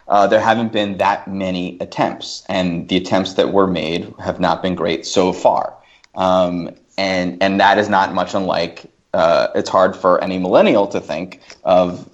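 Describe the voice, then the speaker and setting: A man talking as a podcast panelist, thoughtfully working through and qualifying his points in casual discussion. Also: low-pitched. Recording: slightly dull, lo-fi podcast audio.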